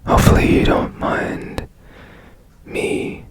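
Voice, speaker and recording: A man playing a strange, curious, gently reassuring repairman in a whispered ASMR roleplay, speaking politely and haltingly in a breathy hush. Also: very noisy, close to an everyday voice. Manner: slow, hushed, polite, halting.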